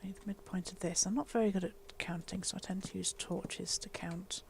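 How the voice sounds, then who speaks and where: Soft, wheezy woman explaining a build. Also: mid-range voice, steady tone, brisk, creaky. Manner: soft, half-whispered explaining. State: wheezy from a chest infection. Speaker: Minecraft building tutor. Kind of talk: casual game tutorial voice-over.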